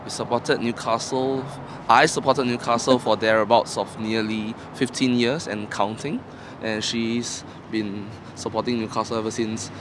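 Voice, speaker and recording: A man's voice: mid-range voice, steady tone, moderate pace, noisy background. A man from Singapore, interviewed outdoors about being a football fan, talking in a chatty, slightly hesitant way. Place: outdoors on a city street.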